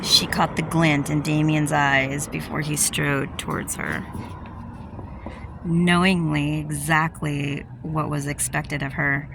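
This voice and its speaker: A woman's voice: low-pitched, vocal fry, background noise. A woman reading a romance novel aloud in an informal, amateur storytelling way, dropping in casual personal asides. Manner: informal, interrupts own reading to comment. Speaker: amateur audiobook reader and vlogger.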